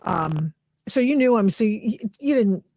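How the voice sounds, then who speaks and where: A woman's voice: medium pitch, lively intonation, a little slow, warm tone, no room sound. A woman hosting a podcast, interviewing a guest in a conversational style. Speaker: podcast host.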